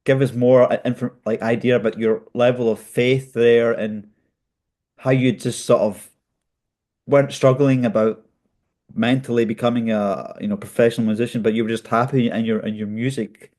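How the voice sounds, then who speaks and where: A man's voice: mid-range voice, steady tone, normal speed, darkish tone. A man with a Scottish accent hosting a podcast, asking questions casually. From Scotland, Greek family. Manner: casual, says "mate". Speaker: podcast host. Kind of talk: interview questions.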